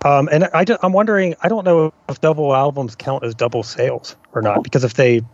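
A man's voice: on the high side, fairly quick, dry recording. A man speaking as a podcast guest in casual music discussion, tentative and thinking aloud. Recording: dry, dull lo-fi remote audio.

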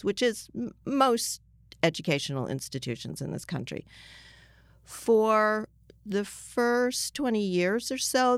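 Older woman, American accent, clean resonant voice, interview guest, measured explaining, dry close sound. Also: low voice, no room sound.

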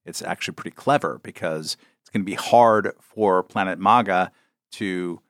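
A man co-hosting a podcast, talking in a halting, dry way full of fillers. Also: deep voice, dry recording. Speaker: podcast co-host. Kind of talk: casual political chat.

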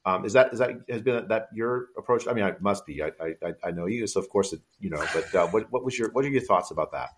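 A middle-aged man hosting a podcast, his voice a little low in pitch. He speaks haltingly, often restarting his sentences as he works his way to a question. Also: a little hiss or hum. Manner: halting, full of restarts and fillers. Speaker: podcast host, professor. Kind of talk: conversational interview.

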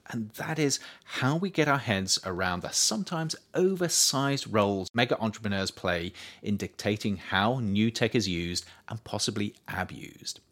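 A man reading his own nonfiction book aloud as an audiobook, in a measured, expressive way. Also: on the low side. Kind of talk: audiobook narration.

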